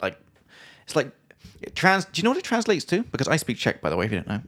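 A man hosting a podcast, chatting and teasing playfully over drinks and slowly explaining words. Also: fairly high pitch, huge pitch swings, fairly bright tone. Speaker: podcast host. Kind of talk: casual chat over drinks.

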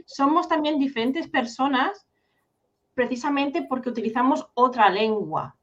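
A woman from Catalonia hosting a podcast interview, chatting in a lively way. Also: high-pitched, measured pace. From Catalonia, Spain. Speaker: podcast host. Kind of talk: interview conversation. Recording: dull lo-fi sound.

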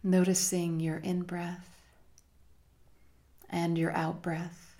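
A woman leading a guided meditation in a measured, hushed manner with long pauses between phrases. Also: lowish voice, flat delivery. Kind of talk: slow guided meditation narration.

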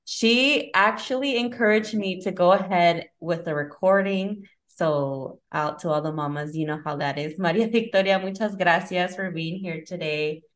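Woman podcast host, lowish voice, friendly, chatty with fillers. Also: normal speed, few pauses. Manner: friendly, enthusiastic, casual fillers like "like" and "you know". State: mother with her son nearby. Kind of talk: podcast interview.

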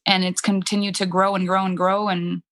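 A woman's voice: medium pitch, little pitch movement, brisk, a bit bright. A woman in her thirties with a French Canadian accent, a French native speaker working as a coach, telling her story candidly in a casual interview, full of "like" fillers. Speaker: business coach.

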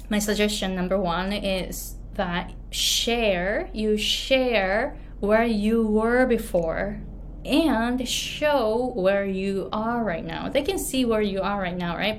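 Japanese-accented woman coach, unhurried friendly storytelling, frequent laughs. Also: mid-range voice, slight background noise. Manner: laughs often, many fillers and restarts. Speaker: English pronunciation coach. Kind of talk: casual talk, storytelling tips.

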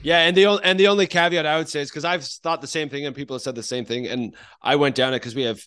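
A man's voice: a little high, fairly even intonation, fast, a bit bright, clean tone. A man hosting a podcast in a casual, informal way, with loose rambling phrasing. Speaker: podcast host. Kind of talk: casual conversational interviewing.

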